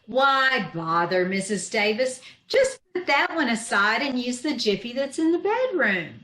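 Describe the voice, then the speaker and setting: A woman playing a wry, scheming schoolteacher with dry deadpan quips in an old-time radio comedy. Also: on the high side, unhurried. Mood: mock innocent. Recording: roomy, echoing video-call recording.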